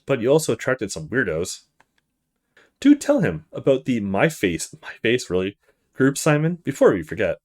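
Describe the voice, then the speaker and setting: A man's voice: mid-range voice, huge pitch swings, moderate pace, darkish tone. A man voicing a feisty young female university student in a visual novel, blunt and sassy. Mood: teasing. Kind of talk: visual novel character read-aloud.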